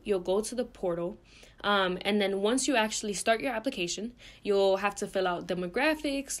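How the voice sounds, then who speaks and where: A young woman from Puerto Rico giving a recorded slide presentation as a student presenter, earnest and explanatory, with frequent ums and uhs. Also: medium pitch. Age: young adult.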